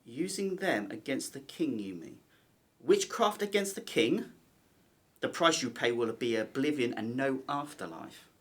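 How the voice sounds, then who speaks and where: A man's voice: medium pitch, very animated, a little slow, a bit bright. A man playing a nervous, wary palace conspirator of ancient Egypt in an audio drama, speaking formally and theatrically. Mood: alarmed, wary.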